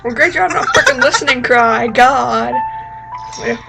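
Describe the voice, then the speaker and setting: A woman's voice: on the high side, animated, moderate pace, vocal fry, loud music behind the voice. A woman chatting casually in a hesitant, rambling, self-deprecating way as she reacts to audio. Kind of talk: casual chat and interjections. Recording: faintly noisy amateur recording.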